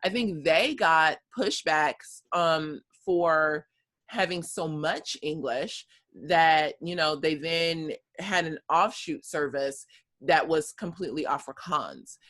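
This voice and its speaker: Bright-voiced American woman telling her story. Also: sits low, slow pace. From United States. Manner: chatty, many fillers, laughs often. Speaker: guest. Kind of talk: conversational interview storytelling.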